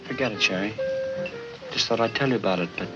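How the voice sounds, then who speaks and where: An actor playing a tired, meek husband who pleads and sulks, in 1950s film noir style. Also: male voice, on the low side, fast talker, close to an everyday voice. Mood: plaintive. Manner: plaintive, pleading, wounded. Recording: dull old film soundtrack with score. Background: film music.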